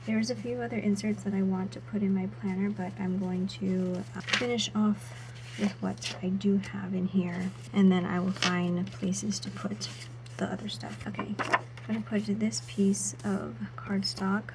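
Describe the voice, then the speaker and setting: A woman vlogger narrating her planner setup in a soft, half-whispered voice, her throat still a little sore. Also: medium pitch, flat delivery, moderate pace, noisy background. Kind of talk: casual voice-over while setting up a planner.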